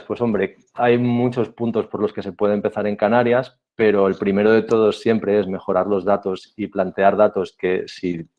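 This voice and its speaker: A man giving a conference talk as a panel speaker, measured and explanatory, with some hesitations. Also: fairly low pitch, lo-fi sound.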